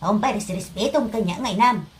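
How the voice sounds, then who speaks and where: A woman's voice: mid-range voice, quick pace, darkish tone, lightly acted. A woman playing a reproachful mother in a comedy drama, scolding and admonishing. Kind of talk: Ilocano comedy drama acting.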